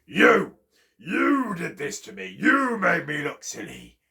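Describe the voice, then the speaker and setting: A man voicing a huge, proud, hot-tempered bear in a children's story, shouting indignant orders. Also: sits high, huge pitch swings, slow, vocal fry, voice pushed up, exaggerated voice. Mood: accusing, indignant.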